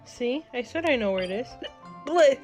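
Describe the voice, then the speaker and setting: A woman's voice: high voice, lively intonation, normal speed. A young woman giving casual live commentary as she streams a video game. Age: young adult. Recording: loud game music behind. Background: video game music.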